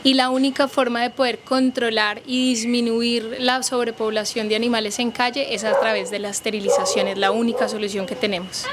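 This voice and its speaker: A woman's voice: a little high, level tone, fairly quick, hardly any pauses. A woman veterinarian with a Colombian accent, earnestly urging listeners in a news interview. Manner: earnest, persuasive, addresses listeners directly. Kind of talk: news interview, public appeal. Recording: background noise from the surroundings.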